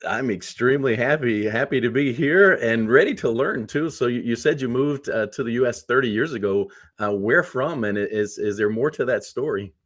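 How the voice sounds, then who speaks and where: A man's voice: mid-range voice, normal speed, few pauses, a bit bright. A middle-aged man hosting a podcast with casual interview questions over a video call from a room at home. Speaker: podcast host. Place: small home room, indoors.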